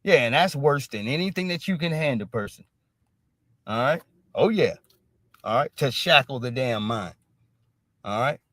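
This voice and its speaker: A man's voice: mid-range voice, animated, normal speed, long pauses, a bit bright, no echo, studio quality. A man hosting a stream, ranting in emphatic commentary and repeating "all right?" after nearly every phrase. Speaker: streamer host.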